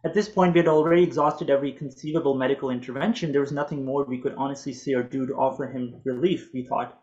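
A man in his thirties whose first language is Urdu, reading a prepared talk in a measured, earnest way over a video call from a home office. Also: medium pitch, steady tone, darkish tone, dull audio. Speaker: physician presenter.

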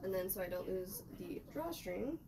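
Chatty young woman with a gravelly voice. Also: medium pitch, expressive, moderate pace. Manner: rambling, chatty, talking to herself while doing laundry. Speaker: vlogger. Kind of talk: casual vlog narration. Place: small bedroom, indoors. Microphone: camera mic at a distance. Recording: noisy room recording from a camera mic.

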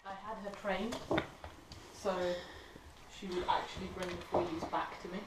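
A woman's voice: lowish voice, fairly even intonation, moderate pace. A woman chatting casually and playfully, coaxing in short repeated phrases. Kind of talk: casual chat, playful pet talk. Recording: echoey, noisy, distant camera mic.

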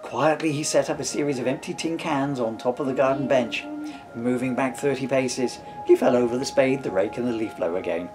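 A man's voice: medium pitch, fairly quick, music in the background. A man in his fifties reading a comic storybook aloud in a playful way, with wry asides. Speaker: author reading from own comic book. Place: living room sofa at home. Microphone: camera a short distance away.

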